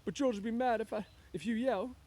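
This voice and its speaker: A man voicing a big, childlike, easily frightened man from a storybook, strained and pleading, with simple, halting repetition. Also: mellow. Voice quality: strained, high-pitched.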